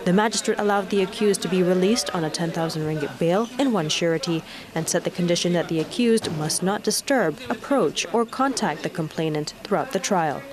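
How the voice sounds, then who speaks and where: Malaysian woman reading the news, lowish voice. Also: a crowd in the background. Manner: formal, measured newsreader delivery. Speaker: news anchor. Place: TV news studio.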